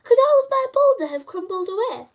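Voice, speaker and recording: A man's voice: very high voice, big pitch swings, fairly quick, voice pushed up, exaggerated voice. A woman voicing a gloomy, puzzled old man who exclaims in rhyme in a children's storybook. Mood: astonished. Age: older.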